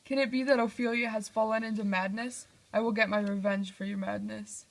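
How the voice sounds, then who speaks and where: A woman's voice: mid-range voice, fairly even intonation, fairly quick, natural voice. A woman playing a vengeful, grieving young nobleman in an amateur puppet-show play.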